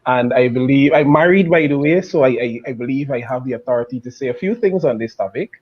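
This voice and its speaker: A man from Guyana, speaking in a measured way with fillers as a panelist. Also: mid-range voice, highs cut off. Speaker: panelist, teacher.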